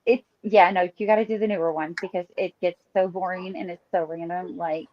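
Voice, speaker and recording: A woman co-hosting a casual podcast, chatty and quick to laugh. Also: lowish voice, steady tone, dry recording. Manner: chatty, laughs easily, suggests ideas. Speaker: podcast co-host. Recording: dull lo-fi mic.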